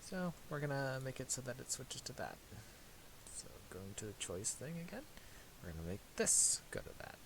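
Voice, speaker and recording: A man narrating a casual screen-recorded software tutorial, halting and thinking aloud as he goes. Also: medium pitch, very animated, creaky. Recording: hissy home recording with clicks.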